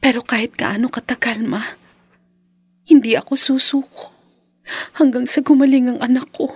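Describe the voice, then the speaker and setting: A woman playing a devoted, weary young mother with a gentle manner in a Filipino radio drama. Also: sits high, fairly even intonation, normal speed, breathy edge, no echo. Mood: weary, resolute. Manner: gentle, soothing.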